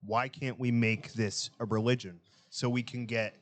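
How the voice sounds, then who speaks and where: A man's voice: medium pitch, fairly even intonation, moderate pace. American man hosting a casual, blunt chat. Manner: blunt, joking, profane. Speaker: podcast host.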